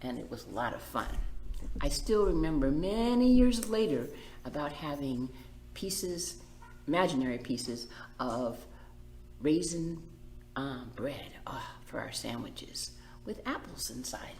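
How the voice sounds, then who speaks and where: A woman's voice: sits low, animated, unhurried, a little mellow, a little hiss or hum. A middle-aged woman hosting a children's storytime, reading a picture book aloud and putting simple questions to young listeners. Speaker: children's librarian and storytime host. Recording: roomy echo.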